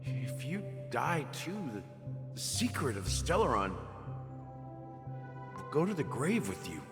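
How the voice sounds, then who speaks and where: A blunt, worldly man in a game story, challenging and medium-pitched. His intonation moves a lot, with long reverb and music around him. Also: very animated, measured pace. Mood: blunt concern. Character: blunt, worldly male comrade of old hero. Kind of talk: scripted game drama.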